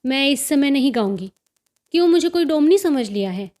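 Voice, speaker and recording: A woman voicing a sulky, easily offended young daughter-in-law in a story, answering in sharp, curt retorts. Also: sits high, animated, moderate pace. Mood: irritated.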